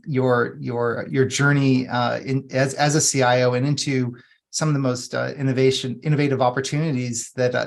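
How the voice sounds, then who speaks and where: A man's voice: medium pitch, gravelly. A man hosting an interview, asking long, rambling questions full of restarts and fillers. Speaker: podcast host.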